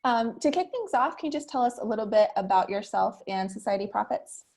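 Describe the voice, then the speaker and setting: A woman's voice: a little high, expressive, fairly quick, a little mellow, slight background noise. A woman, hosting an event interview in a conversational style. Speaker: interviewer, editor.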